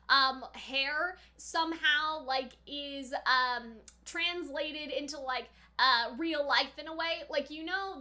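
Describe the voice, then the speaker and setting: A young woman with a very high, bright voice hosts a reaction video. She talks in a chatty, informal way, leaning on "like" as she comments on an anime and reads out bits of dialogue. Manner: chatty, informal, often saying "like". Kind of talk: casual anime reaction commentary with read-out subtitles.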